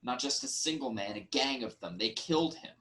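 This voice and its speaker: A man's voice: medium pitch, expressive, brisk, fairly bright tone, natural voice. A man playing an earnest Theban royal messenger in a staged reading of Greek tragedy, emphatic and declarative. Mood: grave.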